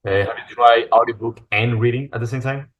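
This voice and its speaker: A man's voice: medium pitch, fairly even intonation, fairly quick, recorded from a distance, dull audio. A man in casual podcast conversation, asking short questions in an easygoing way. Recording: voice from off-mic.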